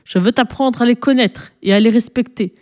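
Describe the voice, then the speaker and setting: An ancient, imperious pharaoh played by a woman reader holds forth from a storybook. The delivery is pompous and solemn, declaimed with majesty in a mellow tone. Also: medium pitch, lively intonation, moderate pace, close to an everyday voice. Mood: stern, haughty. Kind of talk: storybook reading, character dialogue.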